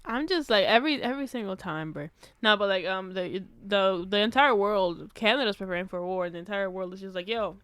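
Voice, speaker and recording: A woman's voice: mid-range voice, fairly quick, few pauses, a bit bright, clean tone, no room sound. A woman co-hosting a casual comedy podcast, talking bluntly in heavy slang with plenty of swearing and playful exaggeration. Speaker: podcast co-host.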